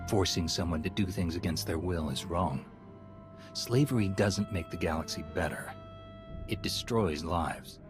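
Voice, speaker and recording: An actor playing a calm, principled military commander in a video game, speaking in a measured, earnest way. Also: male voice, low voice. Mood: firm. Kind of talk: video game cutscene dialogue. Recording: background music.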